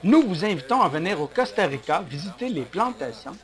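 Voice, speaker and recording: Voice-over reader, male, slightly high voice, steady and measured delivery. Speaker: voice-over translator. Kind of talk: read voice-over.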